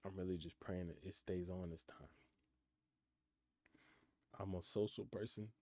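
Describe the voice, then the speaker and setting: A man's voice: sits low, fairly even intonation, a little slow, warm tone, no room sound. A nineteen-year-old man chatting casually on a live stream, reading out questions from chat and answering them in a laid-back, reflective way. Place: his room in college housing. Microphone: computer mic.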